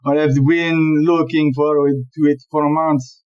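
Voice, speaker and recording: A woman acting in an audio play as a smooth-talking, dismissive male police chief inspector who is a philanderer. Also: low voice, little pitch movement, a little slow, darkish tone, lo-fi sound. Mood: suave.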